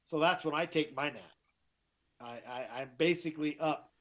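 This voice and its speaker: A middle-aged man with a deeper voice, telling his story as an interview guest, emphatic and often repeating himself. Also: normal speed, fairly bright tone. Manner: emphatic, repeats and restarts words. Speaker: guest, author. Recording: dull lo-fi sound.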